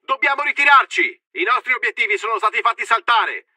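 A fervent Italian army officer in a war video game. His voice is very high-pitched and piercingly tense, with a commanding, urgent delivery. The sound is dry and close, built for battle announcements. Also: animated, a little fast, bright, forceful tone, dry recording, exaggerated voice. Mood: grim, urgent. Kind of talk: shouted military game announcements.

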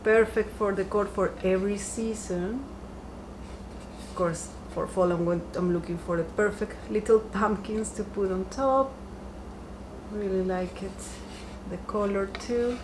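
A woman's voice: medium pitch, fairly even intonation, unhurried, background noise. Chatty woman showing off decor finds. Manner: chatty and upbeat, lingering softly over each item. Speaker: home decor vlogger. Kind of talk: casual shopping haul chat. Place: home dining room, seated by a window.

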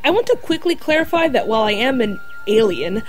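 Woman doing proud alien dog girl in an audio drama, high lively voice, kinda formal, music behind. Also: fairly quick. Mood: earnest, explanatory. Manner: formal, explanatory phrasing. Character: female alien creature posing as a dog, earnest and proud.

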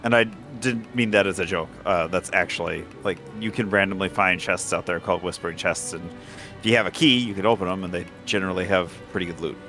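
A man's voice: low-pitched, quick pace, sharp tone, faint music behind. A man in casual chat with friends during a co-op video game. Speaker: co-op gamer.

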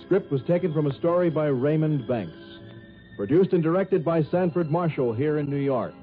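A man's voice: fairly high pitch, animated, moderate pace. Tinny vintage male radio announcer. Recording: tinny old radio, music behind.

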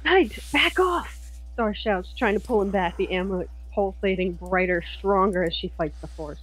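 A woman's voice: mid-range voice, fairly even intonation, normal speed, low-quality recording. A woman chatting casually and narrating her part in a fantasy roleplay. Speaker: roleplay co-player. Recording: faint background noise.

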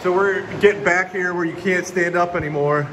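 Young male hiking vlogger, slightly high voice, loose rambling delivery, handheld in a cave, clear background hiss. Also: normal speed. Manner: relaxed, rambling, filler-heavy commentary. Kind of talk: casual vlog narration. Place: inside a cave. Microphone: handheld camera held by the speaker.

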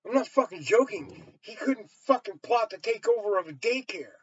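An American man in his sixties talks in a somewhat high voice, rambling bluntly and emphatically with plenty of swearing. The sound is dry and a bit dull, like a webcam in a small room. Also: expressive, normal speed, slightly rough, dry recording. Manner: blunt, heavily profane, emphatic. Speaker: storyteller and vlogger. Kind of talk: rambling opinionated monologue to camera.

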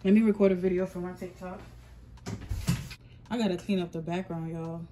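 A woman's voice: fairly low pitch, steady tone, moderate pace, noisy background. A young adult woman who makes wigs, talking casually to her viewers as she vlogs her day on a phone held at arm's length, calling out to them over and over. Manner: chatty, keeps calling out to viewers with "y'all". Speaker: wig maker and vlogger. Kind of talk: casual vlog talk to camera. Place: home wig studio, then the gym, home and a mall at night. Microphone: phone held close at arm's length.

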